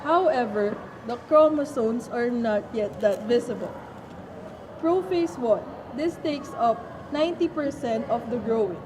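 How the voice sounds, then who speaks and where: A fairly high-voiced doctor played by a woman explains in a halting, teasing way, as if a little reluctant. It is an amateur puppet show recorded with clear background noise. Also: animated. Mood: instructive. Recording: noisy amateur room recording.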